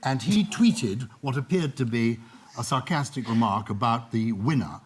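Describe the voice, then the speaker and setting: A man's voice: mid-range voice, expressive, normal speed, slightly rough. An older man on a comedy panel show, mock-indignant and chuckling. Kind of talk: comic panel show banter.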